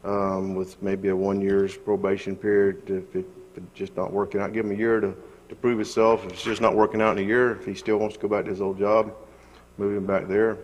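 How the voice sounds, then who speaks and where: A man's voice: fairly low pitch, level tone, brisk. A man on a city council, plain-spoken and hesitant with fillers, addressing the mayor. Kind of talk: formal remarks at a council meeting. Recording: long reverb of a council chamber.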